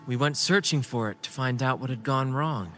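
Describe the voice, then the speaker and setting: A young male troupe leader from a video game played by an actor speaks in articulate, measured lines with a theatrical touch. His voice sits at a medium pitch, with music playing clearly behind it. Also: natural voice. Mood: explaining. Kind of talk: scripted video game story dialogue.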